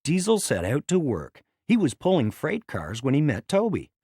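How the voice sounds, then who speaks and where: Very animated male storybook narrator. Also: a little high, moderate pace, husky, no room sound, clean studio sound. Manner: dramatic, expressive. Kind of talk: scripted children's read-along narration.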